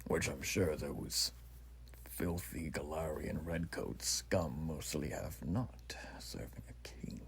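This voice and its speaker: A man's voice: very deep voice, takes it slowly, vocal fry, husky, in a whisper, full character voice. A man playing an earnest, proud revolutionary army officer in a roleplay, speaking to the listener in a formal, rambling, stately way. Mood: measured, instructing. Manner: formal, rambling, theatrically stately, hushed asides. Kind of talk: roleplay voice acting addressed to the listener.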